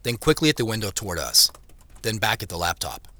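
An actor playing a measured, wry tech company boss who narrates an audio drama in the first person. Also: male voice, on the low side, big pitch swings, bright, forceful tone, no echo. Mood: wry, reflective. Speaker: narrator and lead character.

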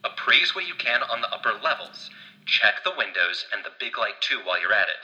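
An actor playing a gruff, exasperated boss of a watchtower crew in an audio drama, curt and sarcastic. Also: male voice, medium pitch, sing-song, fairly quick, pushed and bright, lo-fi sound. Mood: commanding.

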